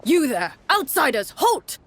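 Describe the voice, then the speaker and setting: A woman's voice: high voice, very animated, a little slow, a bit bright, pitched above the performer's own voice, heavily acted. A woman voicing a stern, suspicious wounded male guard captain, commanding and threatening, in a game's tabletop tale. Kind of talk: game role-play voice acting.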